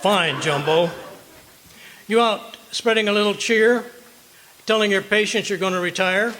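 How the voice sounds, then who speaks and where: A man's voice: sits high, measured pace, noisy background. A man with an American accent playing a blustery, wisecracking husband full of tall tales in an old-time radio comedy, with folksy delivery. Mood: teasing.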